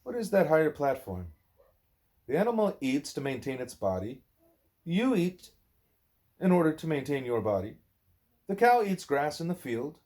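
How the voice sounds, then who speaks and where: A man's voice: fairly high pitch, very animated, moderate pace, long pauses, darkish tone. Man reading a spiritual book aloud. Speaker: book reader, parent. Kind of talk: home read-aloud of a spiritual dialogue.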